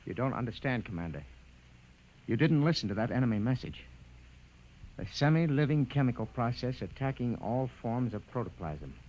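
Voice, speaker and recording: An actor playing a doomed, weary man in a 1950s radio drama, halting and dramatic in his speech. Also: mid-range voice, sing-song, highs cut off. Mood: grim, resigned. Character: doomed, weary man facing humanity's end. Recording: noisy old radio recording.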